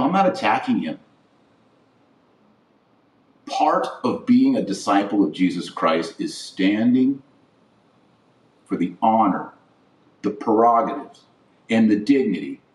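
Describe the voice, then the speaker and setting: A man hosting a podcast, giving opinionated religious commentary in an emphatic, indignant manner full of rhetorical questions. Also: medium pitch. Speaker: podcast host. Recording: roomy echo, distant from mic.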